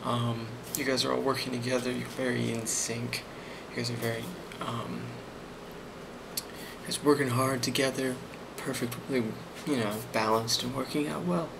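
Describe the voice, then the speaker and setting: A man speaking softly in an ASMR role play as a boss, hesitant and reassuring, with frequent pauses. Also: medium pitch, flat delivery, gravelly, background noise. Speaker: ASMR role-player playing a boss giving a review.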